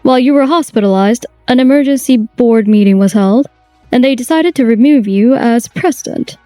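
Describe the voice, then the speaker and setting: An actress playing a calm, authoritative company chairwoman in a manga dub. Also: a little high, moderate pace, dry recording, soft background music, close to an everyday voice. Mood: stern. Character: stern, composed female company chairwoman.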